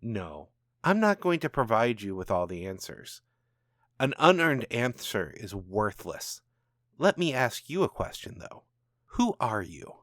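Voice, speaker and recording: A man voicing a calm, knowing, commanding supernatural father in a storybook reading, speaking in a measured, taunting way. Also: fairly low pitch, dry recording, clean studio sound. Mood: knowing, challenging. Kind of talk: storybook narration dialogue.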